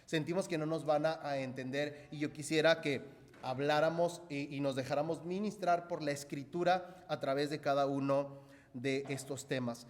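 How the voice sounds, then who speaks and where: A man with a slightly high voice delivers a sermon in a big, echoing hall. He explains things conversationally, like a pastor talking with his congregation. He repeats his points and often adds a short questioning tag. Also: steady tone, normal speed. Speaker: pastor preaching to a church congregation. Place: church hall. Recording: echoey hall with long reverb.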